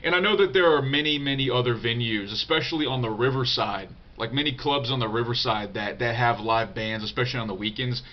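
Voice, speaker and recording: A man's voice: medium pitch, a little fast, few pauses, highs cut off. A young man with a congested, nasal voice from a cold, answering viewer questions in a loose, profane vlog with lots of fillers. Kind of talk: casual unscripted vlog talk.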